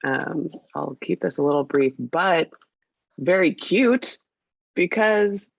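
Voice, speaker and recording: Woman, scratchy cold voice, gravelly, hesitant chatty talk, dull dry call audio. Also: low-pitched, animated, slow pace, no room sound. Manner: chatty, hesitant, many fillers. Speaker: meeting presenter. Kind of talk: casual work meeting update. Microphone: video call.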